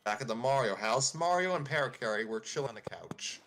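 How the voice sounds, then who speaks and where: A man's voice: medium pitch, expressive, fairly quick, a bit bright. A man narrating a fan script casually, informally breaking off to make side comments. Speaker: narrator and reader.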